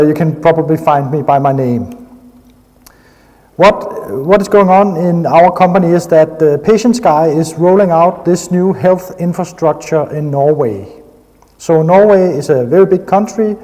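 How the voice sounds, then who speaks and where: A man's voice: fairly high pitch, mellow. A man from Denmark with a Danish accent, giving an enthusiastic technical conference talk with frequent hesitations. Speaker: conference speaker. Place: conference hall. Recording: long hall reverb.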